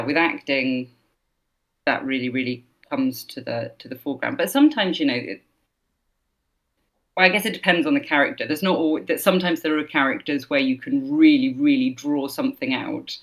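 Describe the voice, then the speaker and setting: A woman's voice: extremely low pitch, normal speed. A woman from Scotland with no Scottish accent, a voice actor chatting thoughtfully in an interview, with many fillers. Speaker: voice actor, guest. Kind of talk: casual interview chat.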